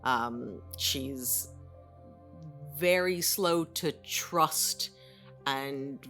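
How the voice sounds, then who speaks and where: A woman's voice: rumbling low, drawn-out words, a bit bright, soft background music. A woman who acts in audio drama, chatting casually as co-host of a behind-the-scenes podcast.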